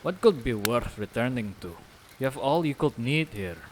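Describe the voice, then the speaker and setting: A man's voice: medium pitch, expressive, moderate pace, a little hiss or hum, close to an everyday voice. A man playing a proud, guarded islander father in an audio drama, speaking in terse, formal phrases.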